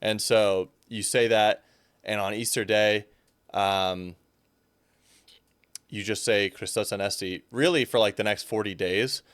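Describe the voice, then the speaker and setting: A man's voice: on the low side, unhurried, pauses often, no echo, studio quality. A young man, a fitness coach guest, chatting casually and animatedly, with frequent fillers. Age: young adult. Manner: animated, fills speech with "like". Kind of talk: casual chat.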